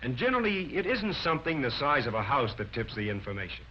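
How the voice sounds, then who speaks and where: Animated man narrating an old 1940s training film. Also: mid-range voice, fast talker, breathy edge. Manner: emphatic, declarative, instructive. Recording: dull band-limited 1940s film soundtrack, music.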